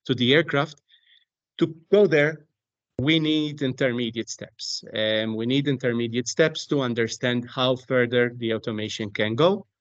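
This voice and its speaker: A man speaking as an expert guest in an explanatory interview, deliberate and halting, often repeating words as he searches for phrasing. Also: mid-range voice, dry recording, dull audio. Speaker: aviation technology company expert, interview guest. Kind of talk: explanatory interview talk on a technical subject.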